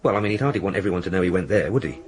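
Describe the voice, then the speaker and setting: An actor playing a diligent, deferential police sergeant in a radio drama, reporting in an earnest, matter-of-fact way. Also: male voice, low-pitched, expressive, rapid-fire. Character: deferential, diligent male police sergeant, junior to his boss.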